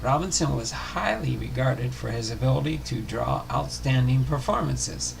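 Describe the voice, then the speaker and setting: A man reading a scripted sports history narration aloud, haltingly, often stumbling and correcting himself. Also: mid-range voice, gravelly, very noisy. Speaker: host of a sports history show. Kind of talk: scripted narration read aloud, baseball biography.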